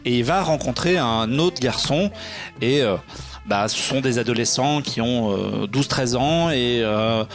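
A man's voice: medium pitch, a little slow. A man with a mid-range voice talks casually as a podcast co-host. His delivery is slangy and informal, often groping for the right word, with faint music in the background. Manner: informal, slangy, hesitant searching for words. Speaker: podcast co-host reviewing films. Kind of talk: casual chat, film review.

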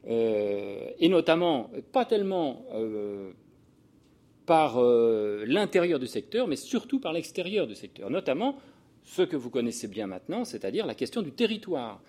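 A man's voice: medium pitch, very animated, measured pace, warm tone. A man in his sixties from the Paris region giving an informal lecture with personal anecdotes, often breaking off, repeating words and circling back as he goes. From Paris region, France. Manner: hesitant, digressive delivery with self-interruptions and repeated words. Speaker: sociologist giving a talk to social work professionals.

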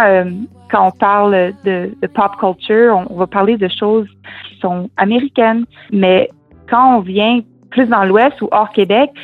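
A young woman from Saskatchewan with a Fransaskois French accent, a singer-songwriter answering interview questions earnestly and thoughtfully, slipping in English words. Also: mid-range voice. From Saskatchewan, Canada. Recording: tinny phone-like line, faint music.

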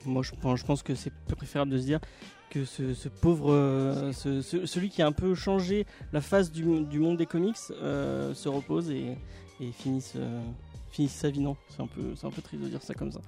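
A man's voice: medium pitch, steady tone, normal speed, creaky, hardly any pauses, dark tone, soft background music. A man hosting a casual podcast discussion, hesitant with many fillers, handing questions to guests. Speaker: podcast host. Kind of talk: casual chat.

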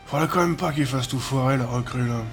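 A grumbling old crook played by a man with a medium-pitched voice. He sounds wary and speaks over faint music in an audio drama. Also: fairly quick, close to an everyday voice. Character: old male getaway driver, wary, grumbling chain-smoker. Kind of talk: scripted audio drama dialogue.

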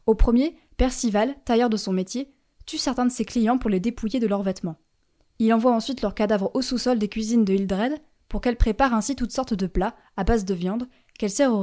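A woman hosting a student radio show about video games, reading a prepared chronicle in a clear, measured way with a touch of drama. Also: fairly high pitch, warm tone. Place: radio studio.

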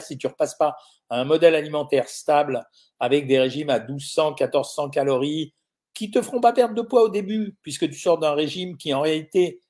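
Brief: male nutritionist, middle-aged, fairly high-pitched, informal and blunt, live Q&A host. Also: darkish tone. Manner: informal, blunt, uses tu with viewers, frequent euh. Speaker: nutritionist answering viewer questions. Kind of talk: casual live Q&A, reading out comments.

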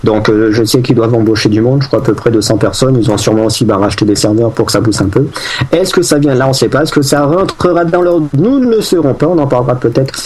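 A man's voice: medium pitch, quick pace, darkish tone, breathy edge. A man co-hosting a casual tech podcast, explaining news in a rambling way with many fillers and restarts. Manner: jokey, fake accents for laughs.

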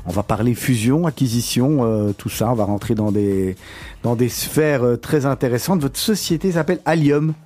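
A male radio host with a mellow, soft-edged voice interviewing a guest. He builds his questions slowly, stumbling and repeating words along the way. His pitch sits in a typical middle range. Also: no room sound. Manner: halting, repeats words while forming questions.

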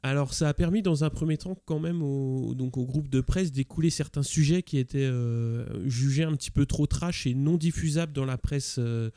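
A man with a mellow, soft-edged voice gives an informal explanatory segment. The sound is dry and close, his pitch is middling, and he proceeds step by step, a little hesitantly. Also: normal speed, few pauses, clear, resonant tone, no echo. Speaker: podcast contributor.

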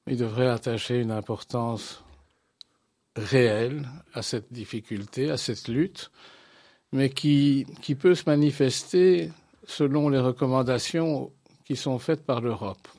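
A man answering interview questions as a guest, speaking in a formal, deliberate and articulate way. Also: mid-range voice, a little mellow, no echo, clean studio sound. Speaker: interview guest, association president.

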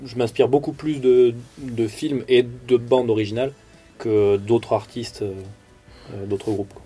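A man's voice: mid-range voice, steady tone, unhurried, warm tone, slightly rough, faint music behind. A man, a musician guest, answering hesitantly with frequent restarts. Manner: hesitant, restarts often with many fillers. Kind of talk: casual interview.

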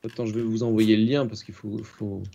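A man's voice: lowish voice, brisk, gravelly, highs cut off. A man co-hosting a casual stream, joking and commenting on photos. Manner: joking, animated. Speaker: co-host.

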